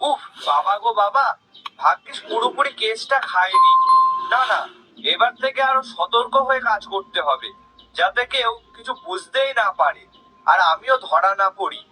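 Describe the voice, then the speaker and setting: A man's voice: very high-pitched, animated, normal speed, bright, forceful tone, faint music behind, full character voice, rough recording. A man playing a sly, greedy, wheedling village shopkeeper in a cartoon. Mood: muttering, scheming. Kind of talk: cartoon character acting.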